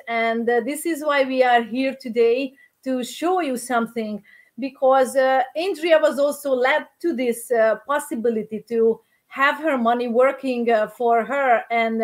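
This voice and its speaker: A woman's voice: high-pitched, unhurried. A woman from Hungary with a Hungarian accent, hosting a chat and encouraging viewers directly. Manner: encouraging, speaks straight to viewers. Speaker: host. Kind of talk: casual interview chat.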